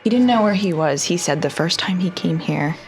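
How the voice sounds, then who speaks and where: A woman's voice: on the low side, animated, a little fast, a little mellow, faint music behind. A woman playing a tabletop role-playing game, casually describing what her character says and does. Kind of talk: casual in-game chat and narration.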